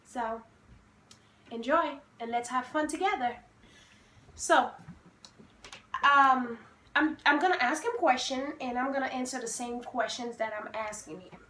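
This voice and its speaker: Woman, 20s, from Haiti; high bright voice, slow delivery, playful and confident, roomy echo. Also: a little hiss or hum. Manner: playful, self-assured, laughs often. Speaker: vlogger host. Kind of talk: casual sibling Q&A chat.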